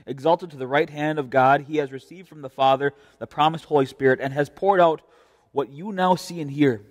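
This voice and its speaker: Young American pastor in a reverberant church. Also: male voice, on the high side, steady tone, a little fast. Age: young adult. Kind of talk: devotional preaching with scripture reading. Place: indoor church chancel. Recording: reverberant church, mic at a distance.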